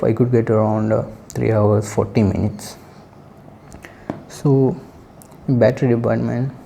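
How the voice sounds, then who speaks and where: A man giving a casual spoken product review in halting short phrases with pauses. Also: mid-range voice, creaky, dark tone, slight background noise. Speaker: tech reviewer.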